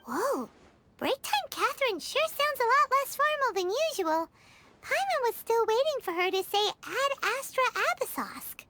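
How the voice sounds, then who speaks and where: A woman voicing a tiny, excitable female companion in a video game, chirpy and exclaiming. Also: very high voice, moderate pace, slight background noise, exaggerated voice. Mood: puzzled. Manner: chirpy, exclaiming, refers to self in third person. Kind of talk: English video game voice acting. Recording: music playing behind the voice. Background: game music.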